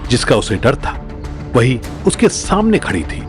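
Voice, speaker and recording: A man's voice: medium pitch, sing-song, a little slow, music in the background. A man narrating a scripted audio drama in a dramatic storytelling style.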